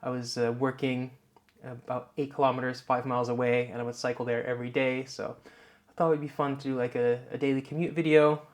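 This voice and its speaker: Dutch man, mid-pitched, light Dutch accent, relaxed rambling vlogger with plenty of "uh"s, clip-on mic in a home office. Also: fairly quick, few pauses. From Netherlands. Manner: relaxed, many filler "uh"s, rambling explanations. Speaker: YouTuber. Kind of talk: casual vlog talk to camera. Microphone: wireless clip-on mic.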